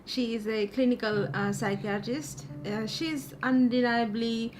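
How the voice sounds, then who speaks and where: A woman's voice: fairly high pitch, steady tone, unhurried, noisy background. A woman hosting an interview for a coaching academy, asking questions hesitantly with many fillers and restarts. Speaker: interviewer, life coaching host. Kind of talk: hosted interview. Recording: music playing behind.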